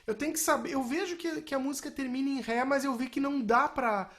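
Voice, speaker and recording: A woman speaks in a somewhat high-pitched voice. She teaches in an informal, explanatory way, like a music technology instructor walking through software. She often adds short tag questions to check that listeners follow. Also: quick pace. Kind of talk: informal spoken software lesson.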